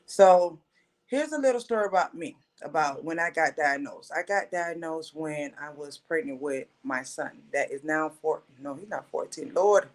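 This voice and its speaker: Woman, thirties, Creole-speaking, earnest, pausing, self-correcting storyteller. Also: sits low. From Haitian family, raised in Florida. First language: Haitian Creole. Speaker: podcast guest. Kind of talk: personal storytelling.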